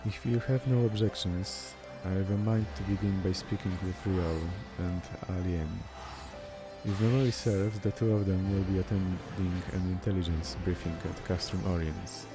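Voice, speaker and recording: A man's voice: low-pitched, fairly even intonation, moderate pace. A man reading game dialogue aloud as a streamer, sometimes stumbling over words and repeating them, with game music behind him.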